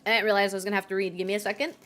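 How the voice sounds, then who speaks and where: A woman's voice: mid-range voice, flat delivery, very fast, a bit bright. A woman game streamer giving casual, playful live commentary and reading bits out.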